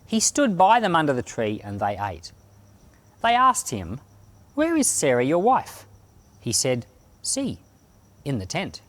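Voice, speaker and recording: A man reading scripture as narration, with expressive delivery and deliberate phrasing. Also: a little high, no room sound. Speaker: Bible podcast reader and pastor.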